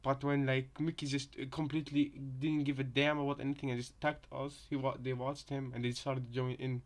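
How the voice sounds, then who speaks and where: A man's voice: mid-range voice, level tone, brisk. Rambling male reactor, casual commentary. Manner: rambling, repeats and reworks phrases. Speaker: reaction video host.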